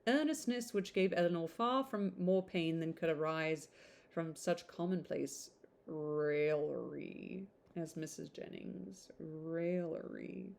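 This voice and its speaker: A woman reading a classic novel aloud in an informal, expressive way, stumbling over some words and adding chatty asides. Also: low-pitched, slow, creaky.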